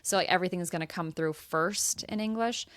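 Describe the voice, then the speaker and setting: A young woman in her twenties co-hosting a casual podcast, chatty and bubbly, full of fillers and quick to laugh. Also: fairly low pitch. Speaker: podcast co-host. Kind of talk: casual chat.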